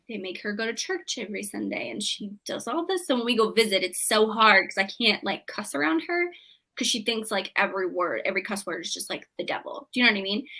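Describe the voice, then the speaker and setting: A woman's voice: medium pitch, bright, forceful tone. A woman chatting casually on a book club live show, peppering her talk with "like".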